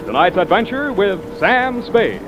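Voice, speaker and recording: A man's voice: high-pitched, very animated, a little slow. A man announcing a programme in 1940s radio style. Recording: dull vintage radio, loud music behind.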